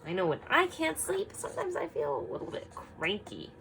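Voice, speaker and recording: A woman teaching young children through an expressive read-aloud, prompting them with frequent simple questions. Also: mid-range voice, moderate pace, vocal fry, noisy background.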